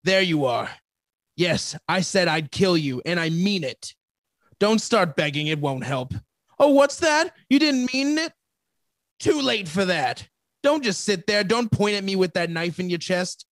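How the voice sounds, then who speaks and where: A man acts out a wronged husband from a comic radio mystery. His voice is notably high and dry, and he exclaims and laments with full melodrama and self-pity. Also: animated, a little slow, no echo. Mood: frantic. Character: distraught betrayed husband, melodramatic, self-pitying drunkard. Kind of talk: old-time radio comedy mystery acting.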